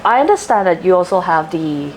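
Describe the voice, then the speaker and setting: A woman's voice: fairly low pitch, sing-song, brisk, a little mellow, slight background noise, rough recording. A woman with a Thai accent hosting a casual interview in a polite, effusive way. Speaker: host.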